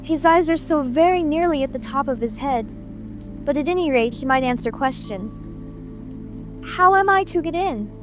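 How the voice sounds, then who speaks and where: Storybook heroine adult actress: young girl, high-pitched, curious and polite, puzzled by everything, faint music underneath. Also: lively intonation, normal speed. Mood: polite, exasperated. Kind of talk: dramatic audiobook reading.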